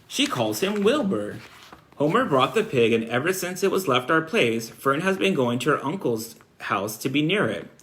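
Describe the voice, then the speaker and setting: A man's voice: a little high, big pitch swings, normal speed, fairly bright tone. A man reading aloud as a worried, stern farm mother in a storybook, scolding and anxious in manner.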